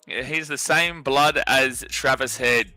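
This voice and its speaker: Bright-voiced joking man in his twenties. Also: medium pitch, slow pace, dry recording, faint music behind. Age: 20s. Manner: joking, teasing, laughs often. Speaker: co-host of a sports watch party. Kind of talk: casual banter stream.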